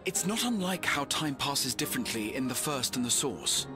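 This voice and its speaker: A man's voice: medium pitch, sing-song, normal speed, lightly acted. Man playing a scholarly companion, music behind. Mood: analytical. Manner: precise, analytical. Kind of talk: scripted video game cutscene acting.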